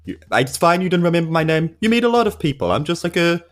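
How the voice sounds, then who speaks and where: A man's voice: a little high, very animated, fast talker, soft background music, pitched above the performer's own voice. A man with a New Zealand accent playing a polite, exasperated human adventurer in a tabletop game, hesitant and pleading. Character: weary, polite, awkward human male adventurer. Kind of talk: improvised tabletop roleplay.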